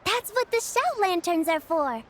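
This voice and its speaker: A woman's voice: extremely high pitch, big pitch swings, measured pace, heavily acted. A woman voicing a cheerful, caring young girl in a video game, patiently explaining things in a singsong, reassuring way. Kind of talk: video game character dialogue.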